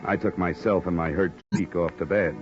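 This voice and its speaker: An American actor playing a cynical, wisecracking hard-boiled insurance investigator in an old radio noir drama, with sardonic, clipped slang. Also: male voice, deep voice, steady tone, normal speed, music in the background, close to an everyday voice. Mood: wry narration. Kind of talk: 1950s radio noir acting and narration. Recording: muffled, noisy old radio recording.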